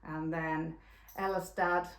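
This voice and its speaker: A woman's voice: low-pitched, level tone, takes it slowly, a bit bright, echoey room. A woman in her forties with a Finnish accent, hosting a casual knitting podcast and chatting with lots of fillers and restarts. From Finland. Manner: rambling, with frequent fillers and restarts. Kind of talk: casual chat.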